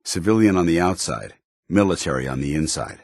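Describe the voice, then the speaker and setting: An actor playing a stern, dutiful armored soldier in a video game, speaking in a formal, clipped military manner. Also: male voice, low voice, big pitch swings, a little fast, gravelly, dry recording, studio quality, exaggerated voice. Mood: grave, watchful. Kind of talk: video game companion dialogue acting.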